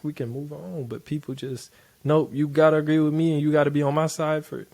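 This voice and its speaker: A man's voice: fairly high pitch, flat delivery, fast, creaky, a little mellow. Blunt, loose-talking man reacting. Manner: loose, blunt, profane street talk. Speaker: reaction video host. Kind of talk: casual reaction commentary.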